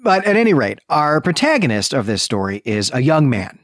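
A man's voice: mid-range voice, very animated, fairly quick, a bit bright, dry recording, studio quality. A man co-hosting a podcast, discussing and recapping literature in an informal, discursive, conversational way. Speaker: podcast co-host.

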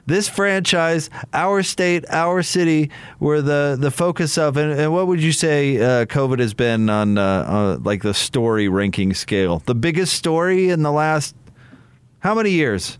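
A man's voice: a little high, unhurried, clean tone, no echo. An American man in casual sports radio discussion as a commentator, hesitating and repeating words while he builds his point.

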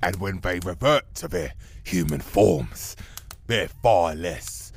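An actor playing a fearsome werewolf army commander in a comic fantasy audio drama, gruff, theatrical, bragging and fawning to a superior. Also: male voice, low-pitched, expressive, slow pace, a little hiss or hum, exaggerated voice. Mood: apologetic. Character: fearsome male werewolf army commander, boastful yet servile.

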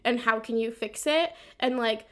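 A woman's voice: fairly high pitch, normal speed. A woman hosting a podcast, interviewing in a casual, chatty way with plenty of "like" sprinkled through her sentences. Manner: informal, frequent "like" as a filler.

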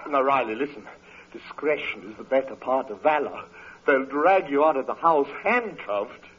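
An actor playing a pompous, scheming older uncle in a 1940s radio comedy, florid in speech and stammering when flustered. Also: male voice, medium pitch, expressive, moderate pace, slight background noise, natural voice. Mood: anxious, persuasive. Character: pompous, scheming old uncle, fussy and evasive. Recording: dull vintage radio recording.

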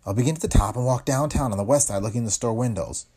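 A man's voice: medium pitch, racing, mellow, husky. A man voicing a brassy, streetwise woman tipster who talks in tough slang and calls everyone dearie. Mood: brisk. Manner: slangy tough-girl talk, keeps saying dearie.

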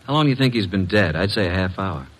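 A man playing a brisk, wisecracking detective's assistant, loyal and matter-of-fact, in an old radio drama. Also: low voice, animated, a bit bright. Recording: noisy old radio recording with clicks.

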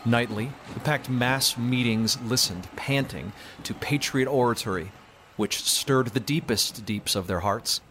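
A man's voice: medium pitch, a little slow, fairly bright tone, a little hiss or hum. A man reading a literary story as narrator, in a dramatic audiobook style. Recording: music behind the voice. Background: background music.

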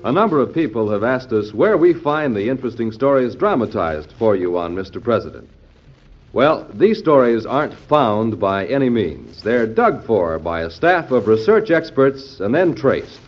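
A man's voice: medium pitch, very animated, moderate pace. A man reading scripted announcements in the style of old-time radio. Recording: dull, band-limited old radio recording.

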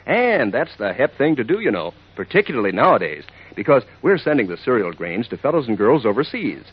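A man's voice: medium pitch, very animated, brisk, breathy edge, highs cut off. A man announcing a scripted radio ad in peppy 1940s style, chummy and folksy, on an old recording. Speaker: announcer, pitchman.

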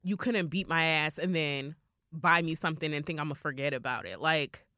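A woman's voice: sits low, normal speed, bright, forceful tone, dry recording, clean studio sound. A young adult woman co-hosting a podcast, talking candidly in a casual chat, blunt and swearing freely with lots of "like" fillers. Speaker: podcast co-host.

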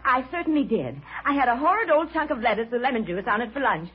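A woman's voice: fairly high pitch, big pitch swings, fast, slightly rough. An American actress playing a self-excusing, indignant married lady in classic radio drama, fussy and plaintive. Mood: indignant, defensive. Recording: dull old radio recording, faint noise.

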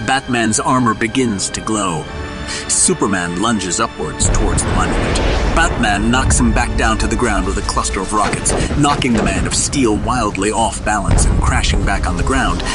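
A man narrating a scripted audio drama in a dramatic storytelling style. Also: fairly low pitch. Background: music.

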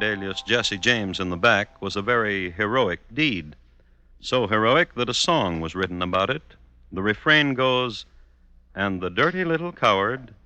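An actor playing a dry, formal crime chronicler who narrates in a measured, ironic 1950s radio style. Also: male voice, lowish voice, pushed and bright.

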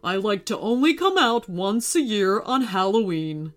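A woman's voice: mid-range voice, big pitch swings, measured pace. A woman voicing a giant male ninja skeleton in a children's story, speaking politely and apologetically. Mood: friendly.

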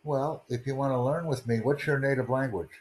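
A man's voice: medium pitch, a little fast, darkish tone, a little hiss or hum, low-quality recording. A man hosting a casual video chat, earnestly promoting his language course and repeating himself. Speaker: language-learning channel host.

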